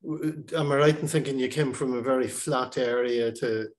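A middle-aged man hosting an interview over a video call, warmly hesitant with many pauses and restarts. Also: mid-range voice, steady tone. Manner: halting, many fillers and restarts, encouraging. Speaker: host. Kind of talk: interview host. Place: home room.

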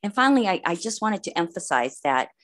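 A woman's voice: on the low side, big pitch swings, fast talker. A woman speaking her comments to a public meeting.

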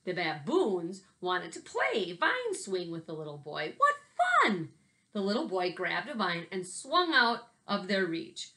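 Female, 40s, US, slightly low register. Chatty, expressive elementary teacher for casual kids' read-aloud videos. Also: measured pace. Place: small bedroom at home, indoors. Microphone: laptop webcam at desk distance.

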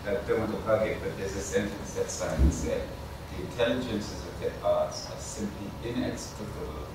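A man's voice: lowish voice, moderate pace. A man asking musing questions from the audience of a conference panel, searching for his words. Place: lecture hall, seated in audience. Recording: distant echoey hall with background noise.

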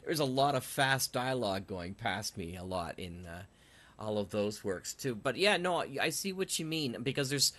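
A man's voice: mid-range voice, lively intonation, moderate pace, fairly bright tone. A man hosting a casual, conversational interview. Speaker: interviewer, podcast host.

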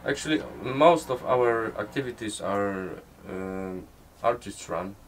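A man speaks English with a Polish accent at a slow pace, chuckling often. It is a casual interview, recorded in a small space with some faint ambient noise behind him. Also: fairly low pitch. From Poland. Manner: chuckles often while talking. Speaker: artist and gallery co-runner being interviewed. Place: inside a small old caravan.

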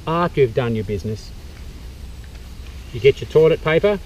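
Australian man, middle-aged, mellow voice, bushcraft instructor, step-by-step explaining, outdoors in a forest, distant camera mic, faint background noise. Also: medium pitch, animated, moderate pace. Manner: practical, explaining step by step with fillers. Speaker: bushcraft instructor and tour guide. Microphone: camera mic from a few metres away.

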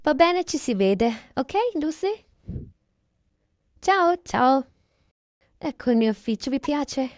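A chatty woman with a fairly high voice tells a make-believe daily routine. She improvises casually and corrects herself along the way. The sound is dry and somewhat muffled. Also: animated, slow pace, long pauses, dry recording. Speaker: narrator voicing a toy-game roleplay video.

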